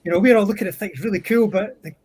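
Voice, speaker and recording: A man's voice: high-pitched, rapid-fire, darkish tone. A man on a livestream panel, chatting casually about wargame models.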